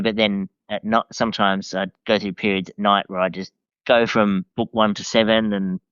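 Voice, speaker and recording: A man's voice: on the low side, fairly bright tone, clean tone, no echo, lo-fi sound. A man chatting casually as a podcast guest, mild and reflective, with a hesitant delivery. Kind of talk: casual conversational book review.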